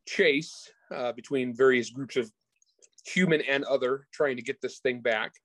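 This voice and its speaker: A man's voice: medium pitch, a little slow, a bit bright, no echo. Casual man chatting in a dry, close sound. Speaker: author being interviewed. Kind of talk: casual interview chat about their own books.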